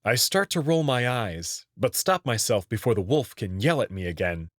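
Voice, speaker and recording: Expressive man narrating, husky voice. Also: medium pitch, moderate pace, no echo, clean studio sound. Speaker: narrator and channel host. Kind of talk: expressive scripted story narration.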